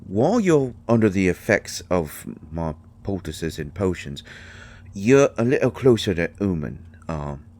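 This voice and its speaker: A man playing a tiny, gruff but shy and caring potion-brewing healer in an audio drama, with a mottled British accent and a halting, stammering delivery. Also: low-pitched, a little mellow, exaggerated voice. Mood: evasive, hesitant. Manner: halting, stammering, mumbling asides. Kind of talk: intimate roleplay audio drama monologue.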